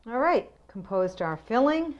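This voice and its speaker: A woman's voice: on the high side, very animated, a little slow, lo-fi sound. Chatty woman hosting a home cooking show. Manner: chatty, homey, explains each step. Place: home kitchen.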